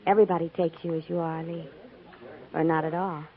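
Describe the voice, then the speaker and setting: An actress playing a coy, homespun storekeeper's daughter in a 1950s radio western, gently teasing. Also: female voice, low voice, level tone, fairly quick, lightly acted. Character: warm, teasing, capable frontier storekeeper's daughter. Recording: narrow-band, noisy 1950s radio recording.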